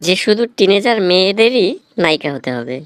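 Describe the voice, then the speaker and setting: A man's voice: high-pitched, sing-song, brisk, fairly bright tone, clean tone, dry recording, studio quality. A man voicing a blunt, teasing film writer who lectures sardonically in a Bangladeshi accent, in a comic cartoon. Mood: lecturing.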